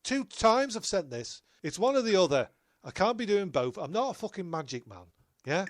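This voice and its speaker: A man's voice: sits high, very animated, moderate pace, dry recording, studio quality. A man playing a blunt, exasperated, excuse-making actor in a comic audio drama. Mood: indignant. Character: indignant, sweary, excuse-making actor in a bickering troupe.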